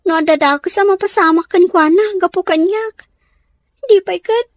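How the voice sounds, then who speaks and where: A woman's voice: extremely high pitch, normal speed, no echo, clean studio sound. A woman with an Ilocano Filipino accent playing a troubled, defiant teenage daughter in an audio soap drama. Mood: uneasy, evasive.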